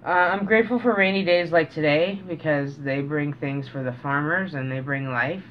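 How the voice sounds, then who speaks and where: A middle-aged man talking casually and reflectively to camera as a vlogger, earnest and halting, pausing mid-sentence, in a small room indoors. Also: on the high side. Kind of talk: casual, reflective vlog talk. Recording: dull, noisy home recording.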